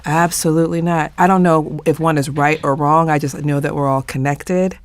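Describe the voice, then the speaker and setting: A woman's voice: low voice, steady tone, no room sound. Emphatic, earnest panelist, a woman. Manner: emphatic, deliberate, repeats phrases for weight. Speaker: panelist in a discussion of a play. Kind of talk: earnest, reflective discussion.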